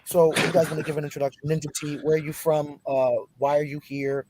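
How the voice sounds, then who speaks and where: Energetic male stream host. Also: on the high side, a little fast, a little hiss or hum, poor audio. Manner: energetic, emphatic, swings between loud and soft. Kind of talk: livestream podcast hosting.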